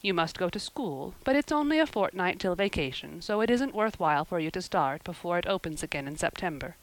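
A woman's voice: lowish voice, expressive, brisk, low-quality recording. A woman voicing a stern, practical older female guardian in an audiobook, curt and disapproving. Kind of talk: audiobook character voice.

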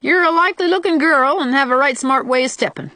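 A man's voice: very high voice, fast talker, pushed and bright, dry recording, pitched above the performer's own voice. A woman as a blunt, solemn country farmhand. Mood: stubborn, persuasive. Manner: abrupt, rustic folksy speech. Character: lanky, solemn young country farmhand, blunt and stubborn suitor. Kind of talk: audiobook character voice.

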